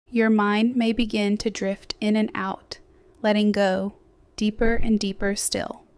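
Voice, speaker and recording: A woman gently guiding a sleep hypnosis meditation in a slow, soothing, scripted delivery with softly trailing phrases. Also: medium pitch, creaky, no echo. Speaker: hypnosis guide.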